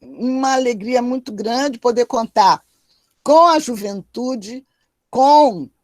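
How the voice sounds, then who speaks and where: A woman's voice: a little high, slow, a little mellow, no room sound. An older woman with a Brazilian accent moderates an online panel and introduces the guest in an affectionate, playful way over a video call. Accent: Brazilian Portuguese.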